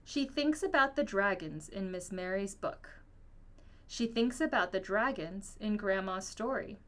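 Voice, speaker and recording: A woman reading a children's picture book aloud in an expressive, storytelling manner. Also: mid-range voice, a little slow. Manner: expressive, acts out dialogue. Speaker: storybook reader.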